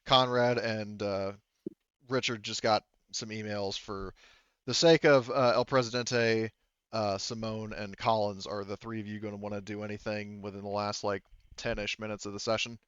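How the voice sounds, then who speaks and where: A man talking casually as game master to his players in a halting, hedging way. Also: fairly low pitch, flat delivery, a bit bright, clear, resonant tone, no echo, muffled recording. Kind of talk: casual game-running talk among players. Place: online voice session.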